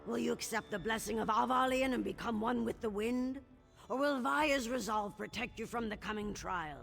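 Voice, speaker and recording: An actress voicing a grave, ceremonial high priestess of a warrior cult in a fantasy game. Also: female voice, moderate pace, creaky, fairly bright tone, faint music behind. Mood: solemn. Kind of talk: scripted fantasy game acting.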